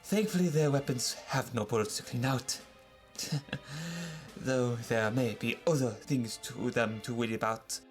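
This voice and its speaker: An actor playing a calm, heroic famous surgeon in a retro radio-style serial, with measured, formal speech. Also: male voice, mid-range voice, faint music behind, close to an everyday voice. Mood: calm, grave.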